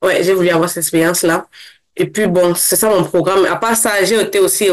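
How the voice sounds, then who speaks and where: A woman's voice: fairly low pitch, steady tone. Young Cameroonian woman telling her story. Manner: fast, with many restarts. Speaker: interview guest, former scholarship holder. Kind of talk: casual interview.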